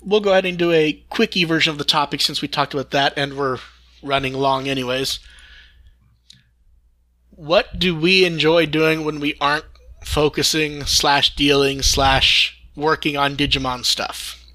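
A man hosting a casual fan podcast discussion, talking haltingly and often repeating and restarting his phrases. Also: fairly high pitch, steady tone, bright, forceful tone, no room sound. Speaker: podcast host.

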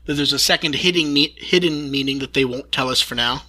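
A man's voice: mid-range voice, fairly quick, sharp tone. A man hosting a casual fan news discussion, talking in an enthusiastic, rambling way and occasionally swearing. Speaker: podcast host.

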